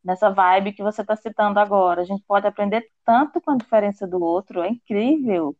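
A woman's voice: medium pitch, a little fast, dry recording, phone-quality sound. A woman co-hosting a podcast, speaking thoughtfully in casual conversation, with hesitant restarts. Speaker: podcast co-host.

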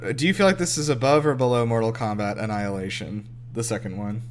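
A man's voice: lowish voice, quick pace, slight background noise. A man hosting a casual group movie review chat in a sarcastic, sweary way. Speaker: host of a movie reaction show.

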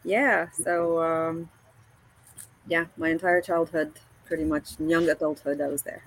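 Guest: woman in her thirties, lowish voice, unhurried, hesitant delivery full of fillers. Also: steady tone, low-quality recording. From Egypt. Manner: hesitant, many ums and restarts. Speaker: guest, paramotor pilot. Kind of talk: casual video-call interview.

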